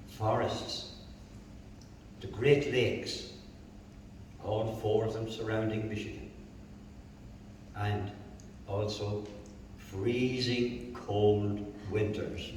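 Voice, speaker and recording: A man's voice: on the low side, a little mellow, slight background noise. A man with an Irish accent giving a jovial public talk as a co-author at a library event. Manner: slow, jovial, chuckles at his own jokes. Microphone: distant room mic. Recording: echoey hall recorded from far off.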